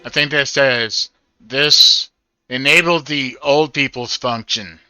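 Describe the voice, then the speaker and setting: A man's voice: medium pitch, slow pace, sharp tone, clean tone, dry recording, faint music behind, poor audio. An older man giving casual gameplay commentary as he plays through a game. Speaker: gamer doing a first-time playthrough.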